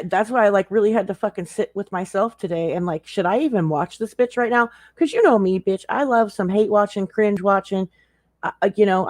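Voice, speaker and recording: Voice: mid-range voice, no room sound, clean studio sound. A livestream co-commentator chatting casually in a blunt, profane way. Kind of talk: casual chat.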